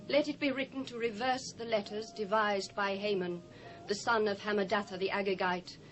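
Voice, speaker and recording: A woman's voice: a bit bright, lightly acted, rough recording. An actress as an earnest, entreating queen. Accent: British. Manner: formal, entreating. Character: earnest, dignified young queen pleading for her people. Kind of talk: dramatised scripture audio drama. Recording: faint noise and rumble, old cassette.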